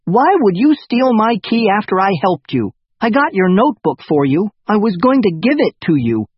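A man voicing a fierce, angry young girl in an audiobook, sharp and demanding. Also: a little high, wildly swooping pitch, slow, slightly rough, muffled recording, pitched above the performer's own voice, full character voice. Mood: accusing.

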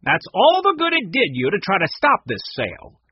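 Man doing a harsh, snarling storybook villain, husky. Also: on the high side, lively intonation, moderate pace, no room sound, muffled recording, pitched above the performer's own voice. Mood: nasty. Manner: harsh, snappish, sneering. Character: hostile, snarling tall thin villainous man.

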